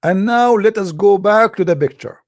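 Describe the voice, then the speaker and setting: A man's voice: sits high, moderate pace, no echo, clean studio sound. A man hosting a live call-in show, speaking in an emphatic, sarcastic, preaching way.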